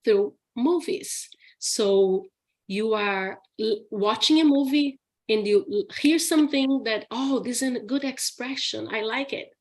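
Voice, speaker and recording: A woman's voice: fairly high pitch, measured pace. A woman with a Brazilian accent, an English teacher answering questions in a live Q&A, chatty and laughing often, with many restarts and "okay?" checks. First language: Portuguese. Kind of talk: live Q&A session.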